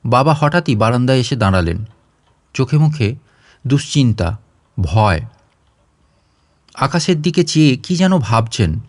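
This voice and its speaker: A man narrates a story in a dramatic audiobook style, at a middling pitch. The sound is dry and close, with very little room around the voice. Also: big pitch swings, a little slow, pauses often, dry recording.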